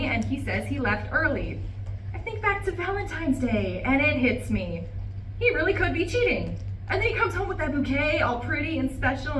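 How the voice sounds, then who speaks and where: A high, lively-pitched voice of a bitter, wronged wife, acted by a woman in a stage play. She vents with indignant emphasis. The recording is roomy, as if from the back of a live reading. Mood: wounded, simmering. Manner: indignant, emphatic, swearing monologue. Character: bitter, betrayed wife venting to her plants. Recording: roomy, distant live recording, faint noise.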